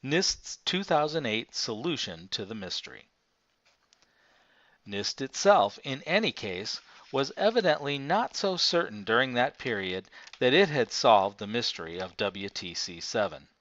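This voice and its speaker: A man reading a nonfiction book aloud as scripted narration, in a measured, formal manner. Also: mid-range voice, very animated, a bit bright, no echo. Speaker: audiobook reader. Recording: dull, lo-fi, dry close recording.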